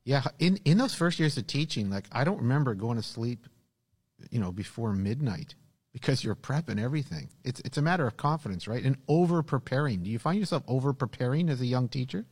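A man's voice: medium pitch, expressive, a little fast, darkish tone, husky. A man hosting a casual conversation, chatty and joking. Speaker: podcast host and teacher.

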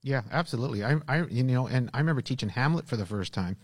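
A man's voice: medium pitch, animated, fast talker, breathy edge. A man hosting a casual conversation, chatty and joking. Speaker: podcast host and teacher.

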